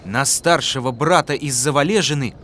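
A man narrating a folk tale in a calm, rhythmic storytelling voice. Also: mid-range voice, moderate pace, slight background noise. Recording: clear music behind.

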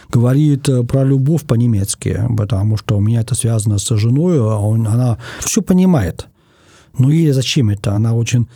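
A man from Germany, a guest in an interview, speaking thoughtfully in casual talk and pausing often to find his words. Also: mid-range voice, creaky, mellow, husky, no echo, clean studio sound.

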